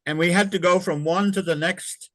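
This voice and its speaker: An older man, born in England and raised in Canada, recalls his navy years in an interview, talking in a halting way with many fillers and restarts. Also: high-pitched, steady tone, a bit bright, no room sound, low-quality recording. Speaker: interview guest, former navy sailor. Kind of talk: casual recollection in an interview.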